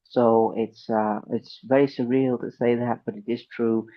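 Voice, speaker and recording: A man's voice: medium pitch, little pitch movement, measured pace, muffled recording. Man hosting with a slight sore throat. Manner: polite, effusive, thanks guests warmly. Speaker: podcast host. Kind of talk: friendly interview chat.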